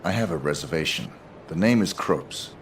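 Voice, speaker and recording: Casting: game assassin, extremely deep gravelly voice, terse, deadpan, cold. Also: male voice, moderate pace, background noise. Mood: cool, flat. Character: cold, laconic male professional assassin.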